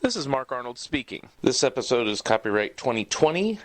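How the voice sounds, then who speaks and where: A man's voice: mid-range voice, very animated, fairly quick, a bit bright, no echo. A man in his fifties hosting a podcast in a casual, conversational style. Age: 50s. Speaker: podcast host.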